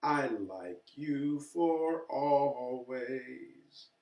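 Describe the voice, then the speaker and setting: Man as a tender mother, slow singsong lullaby. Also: medium pitch, animated, a little mellow. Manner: drawn-out, singsong. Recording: echoey room, distant from mic.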